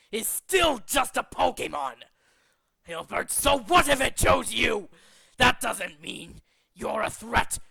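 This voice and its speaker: A megalomaniac male cult leader delivering a theatrical video game monologue. The voice is high-pitched for a man, sharp and forceful, recorded close and dry. It is pompous and contemptuous, shifting between hushed scheming and menacing rants. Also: vocal fry, slightly rough, dry recording, exaggerated voice.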